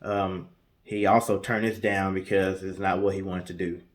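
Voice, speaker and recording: A man co-hosting a storytelling show, speaking casually and haltingly, often pausing mid-sentence. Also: sits low, little pitch movement. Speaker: co-host telling stories in a guessing-game show.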